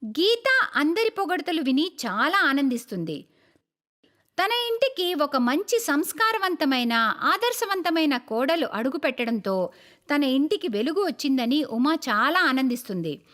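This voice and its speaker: High-pitched, animated woman storyteller. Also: measured pace. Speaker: narrator. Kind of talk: scripted narration of a moral story.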